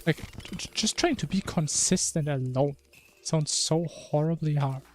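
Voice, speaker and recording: A man's voice: on the high side, unhurried, a little hiss or hum. A man streaming a video game, chatting casually and musing in a rambling way. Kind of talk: casual chat while gaming.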